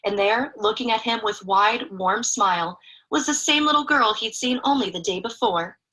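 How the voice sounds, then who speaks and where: A woman's voice: fairly high pitch, normal speed, bright, forceful tone, poor audio. A woman reading a picture book aloud expressively to children, in a chatty way with young listeners. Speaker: children's story reader.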